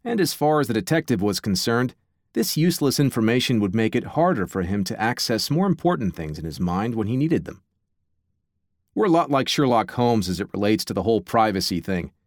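A man narrates a nonfiction audiobook with a lively, rising-and-falling delivery. He reads in a measured, theatrical way. The studio sound is close and dry. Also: on the low side, dry recording. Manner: measured, theatrical reading with asides.

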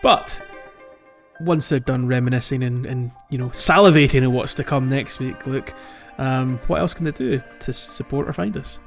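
A man's voice: mid-range voice, normal speed, vocal fry, dull audio, faint music behind. A man co-hosting a podcast in a casual, conversational way about a video game. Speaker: podcast co-host.

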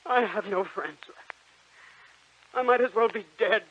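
An actor playing a desperate young count without a shadow, with anguished, overwrought pleading, in old-time radio acting. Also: male voice, sits high, unhurried. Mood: despairing. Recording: dull old radio broadcast recording, faint hiss.

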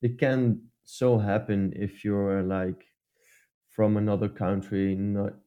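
A man's voice: lowish voice, little pitch movement, takes it slowly, clean tone, muffled recording. A man from the Netherlands with a Dutch accent, co-hosting a casual advice chat and talking hesitantly with many fillers and restarts. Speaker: podcast co-host.

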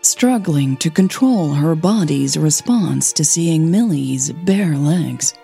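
A woman who narrates and hosts a fiction podcast, telling the story in a measured, dramatic way with deliberate pauses. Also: low voice, expressive, creaky, mellow, soft background music. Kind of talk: scripted audio fiction narration.

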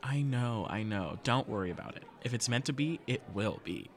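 A man playing a cheeky, excitable teenage boy with a theatrical, teasing manner in a fiction podcast. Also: mid-range voice, normal speed, natural voice. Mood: reassuring. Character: excitable, cheeky teenage boy, loud loyal best friend. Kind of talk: audio fiction podcast acting.

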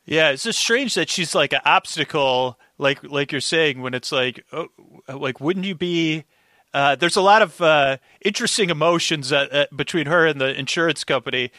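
A man with a bright, somewhat high voice chats as a podcast guest. He rambles enthusiastically, acting out his own reactions. The sound is close and dry. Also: normal speed, dry recording. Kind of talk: casual recap podcast chat.

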